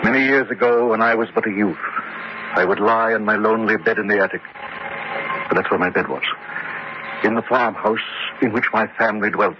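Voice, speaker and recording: An actor playing a pompous, suave and self-admiring gentleman adventurer in a florid, theatrical comic horror radio drama style. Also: on the low side, a little fast, pauses often, close to an everyday voice. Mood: grandiose, nostalgic. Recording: muffled, noisy old radio drama recording.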